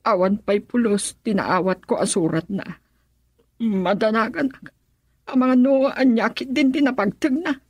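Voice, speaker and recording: A woman's voice: a little high, unhurried, darkish tone, husky, no echo. An actress playing a concerned older female relative in a radio drama, inquiring in a worried way.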